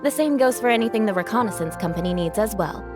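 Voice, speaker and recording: An actress playing a polite, earnest young female knight in a video game, measured and formal. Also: mid-range voice, animated, natural voice. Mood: considerate. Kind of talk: video game character voice acting. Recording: music behind the voice. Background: game music.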